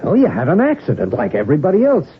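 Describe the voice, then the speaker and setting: An actor playing a pragmatic, urgent confidant who questions insistently, in a 1970s radio drama. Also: male voice, on the high side, wildly swooping pitch, fast, a little hiss or hum. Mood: urgent, probing. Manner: probing, insistent. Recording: narrow, phone-like radio recording.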